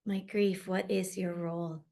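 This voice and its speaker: A woman gently leads a guided meditation, speaking slowly and softly, her words often drifting into a half-whisper. Her pitch stays level and even, with a slightly gravelly edge. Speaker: meditation leader.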